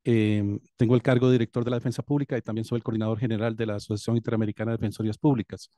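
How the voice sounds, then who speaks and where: A man's voice: fairly low pitch, little pitch movement, rapid-fire, dark tone, no echo, studio quality. A man from Costa Rica with a Costa Rican accent, who directs a public defense office, explaining his work earnestly with frequent hesitations. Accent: Costa Rican Spanish. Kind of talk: seminar audience comment.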